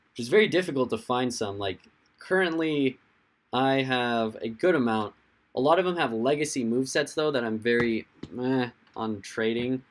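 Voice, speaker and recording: A young man with a hoarse, fading voice, hosting a casual livestream, rambling and answering viewers' chat comments as they come in. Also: lowish voice. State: hoarse, losing his voice. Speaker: livestream host.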